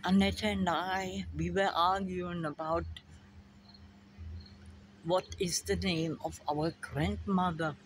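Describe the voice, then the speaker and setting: An older woman with a German accent, musing slowly to a phone camera in her backyard about memory and family. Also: lowish voice, fairly even intonation, slight background noise, low-quality recording. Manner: slow, musing, drifting between thoughts. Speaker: vlogger. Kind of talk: rambling personal monologue to camera. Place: backyard outdoors by a fence. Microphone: phone camera at arm's length. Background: crickets and insects.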